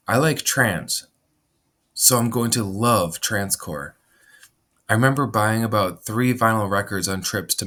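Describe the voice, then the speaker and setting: A man in his thirties from Minnesota, reading his own life story aloud at a measured pace and clearing his throat now and then. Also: on the low side, pushed and bright. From Minnesota, USA. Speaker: memoir narrator. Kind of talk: reading a personal memoir aloud.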